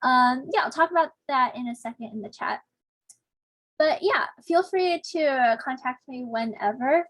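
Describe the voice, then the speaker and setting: Young woman TA on a video call, chatty and self-deprecating, slightly high husky voice, dry sound. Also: expressive, measured pace, fairly bright tone, no room sound, rough recording. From Southern California. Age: young adult. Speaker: teaching assistant. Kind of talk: casual online discussion section intro.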